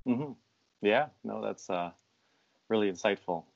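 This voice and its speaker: A man asking casual interview questions in a hesitant, halting way. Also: fairly low pitch, vocal fry, no echo. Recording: dry but dull, lo-fi audio.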